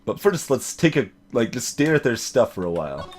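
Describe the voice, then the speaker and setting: A man's voice: mid-range voice, sing-song, moderate pace, a little mellow. A man streaming a video game, casually commentating and reading dialogue aloud in a rambling, dryly joking way. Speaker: gaming streamer. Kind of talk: let's play commentary with dialogue read aloud. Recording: clear background noise.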